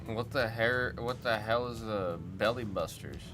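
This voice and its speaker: Lowish-voiced man chatting on a livestream. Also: unhurried. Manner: rambling, puzzled, chatty. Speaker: livestream host of card breaks. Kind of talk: casual chat reacting to viewer comments. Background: music.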